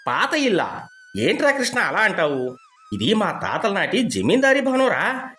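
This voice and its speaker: A man's voice: sits high, wildly swooping pitch, unhurried, sharp tone, faint music behind, full character voice. A man playing a boastful, status-anxious middle-aged village father in a cartoon moral story, pompous and blustering. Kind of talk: animated moral story dialogue.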